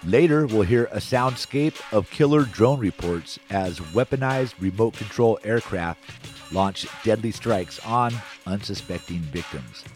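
A man's voice: fairly low pitch, a little mellow. A man with an American accent hosting a radio magazine show, reading from a script. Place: radio broadcast. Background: music bed.

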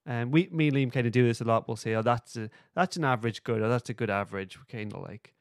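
A man's voice: mid-range voice, fast talker, no echo, clean studio sound. A man hosting a podcast, chatting casually in an informal, understated way. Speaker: podcast host. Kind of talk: casual review chat.

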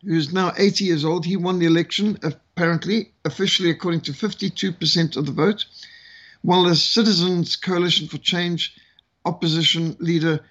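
A man from Zimbabwe talks through recent events as a guest commentator. His voice sits fairly high, and he lands his points with dry sarcasm between hesitant fillers. The sound is dull and lo-fi. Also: steady tone, brisk, a bit bright. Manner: sardonic, with frequent fillers. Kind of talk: political commentary in conversation.

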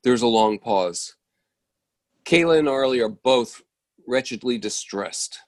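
A man's voice: mid-range voice, lively intonation, slow pace, dry recording, clean studio sound. A man acting as narrator, reading out stage directions as scripted narration.

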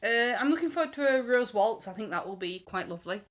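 A woman's voice: fairly high pitch, animated, fast. A woman on a podcast panel, chatting casually and giving her opinions with emphasis.

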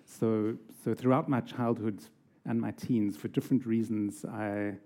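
A man's voice: lowish voice, steady tone, a little slow, mellow. A man speaking as a guest in an interview, thoughtful and hesitant, repeating words as he begins his points. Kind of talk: reflective interview answer.

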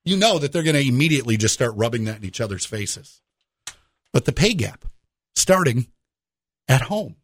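A male radio host with a very animated, swooping delivery. He talks in a jokey, conversational monologue, speaking straight to the listener with rhetorical questions. The sound is close and dry. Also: medium pitch, normal speed, long pauses, slightly rough, dry recording.

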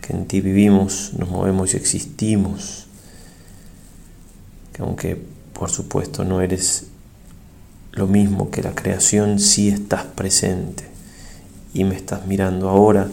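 A man, a priest, leads a guided prayer meditation in a solemn, reverent and measured way, pausing between short phrases. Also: low voice, monotone, gravelly, warm tone, a little hiss or hum.

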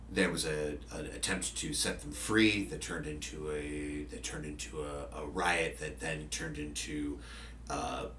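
Deep-voiced man rambling through podcast stories. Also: a bit bright, echoey room, distant mic, a little hiss or hum. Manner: rambling, many fillers and restarts. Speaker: podcaster and journalist. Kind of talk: casual conversational interview.

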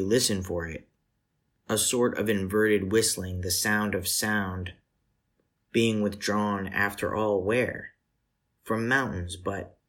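A man in his forties reads poetry and prepared literary remarks. His voice is low and husky, and he phrases deliberately, leaving pauses between lines. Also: a bit bright. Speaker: poet reading selected poems. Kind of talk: literary reading, scripted.

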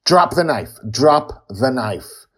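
A man acts out an enraged dad from an audio story, his voice pushed far up and strained. He shouts in urgent, accusing bursts with a husky edge. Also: medium pitch, sing-song, takes it slowly, voice pushed up, heavily acted. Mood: furious screaming. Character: furious, intense father confronting his young daughter.

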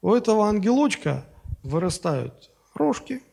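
Guy pastor giving a sunday sermon, chatty and loose, kinda strained voice, a bit high for a man. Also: very animated, unhurried, vocal fry, dark tone, slightly rough. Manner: conversational preaching with fillers and anecdotes. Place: church.

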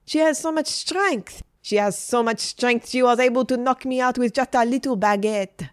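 A woman's voice: a little high, normal speed, no echo, clean studio sound, pitched above the performer's own voice, close to an everyday voice. A woman voicing a melodramatic French male teacher in a story, lovestruck, pleading and theatrical. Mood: gushing, pleading.

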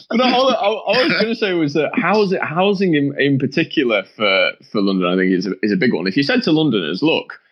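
A man's voice: on the high side, dull audio. A man talking politics as a panel guest, thoughtful and hesitant, often restarting with "I think". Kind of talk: political discussion.

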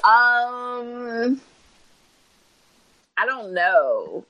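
A woman's voice: fairly high pitch, sing-song, very slow, pushed and bright, poor audio. A woman co-hosting a wrestling podcast, blunt and sardonic, swearing freely in casual chat. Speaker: podcast co-host.

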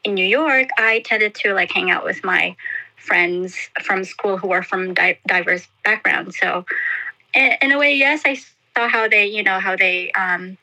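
Bright-voiced woman, hesitant and halting. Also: mid-range voice, low-quality recording. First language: Indonesian. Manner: hesitant, many fillers and restarts. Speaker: interview guest. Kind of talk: casual interview.

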